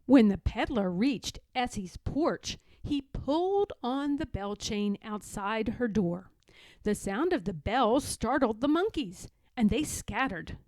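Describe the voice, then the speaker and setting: Slow, lilting woman reading a storybook. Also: a little high, no echo. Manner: expressive, deliberate. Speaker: read-aloud narrator.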